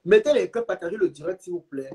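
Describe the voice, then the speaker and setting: A man's voice: sits high, animated, fast, warm tone, a little hiss or hum. A man hosting a live stream, talking insistently and repeating his points while urging viewers to share. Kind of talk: heated live stream chat.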